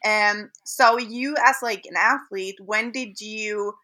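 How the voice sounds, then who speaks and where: A woman with a Swedish accent hosting a podcast, upbeat and quick to agree with her guest. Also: mid-range voice, fairly even intonation, sharp tone. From Sweden. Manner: upbeat, lots of short agreeing interjections. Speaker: podcast host. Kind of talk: casual interview chat.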